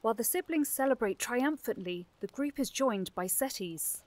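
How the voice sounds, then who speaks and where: A woman's voice: on the high side, animated, normal speed. A woman giving scripted narration for a fantasy audio drama.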